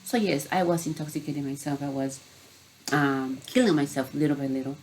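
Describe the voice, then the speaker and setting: A woman's voice: sits low, fairly even intonation, brisk, darkish tone, a little hiss or hum, low-quality recording. A middle-aged woman from Peru, a health coach, earnestly telling her own story in a personal vlog.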